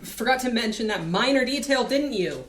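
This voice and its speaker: A sharp, sarcastic young woman cutting others down, read by a woman. Her voice sits in the middle of the range, with a faint hiss behind it. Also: fairly high pitch, animated, normal speed, reverberant, natural voice. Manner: sarcastic, dry.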